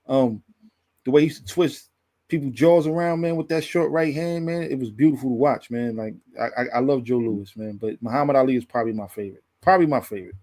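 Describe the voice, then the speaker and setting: A man's voice: medium pitch, fast talker, warm tone. A man in a casual sports debate, slangy and often repeating himself. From Brooklyn, New York. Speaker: boxing show panelist.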